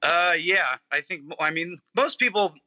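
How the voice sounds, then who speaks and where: Guest, 30s man, bright tense tone, dry humor; lo-fi video call sound from a basement. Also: high voice, a little slow. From Iowa. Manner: dry, deadpan jokes. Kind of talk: casual chat on video call. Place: basement video rental room. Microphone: laptop or phone on video call. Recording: slightly dull video call audio.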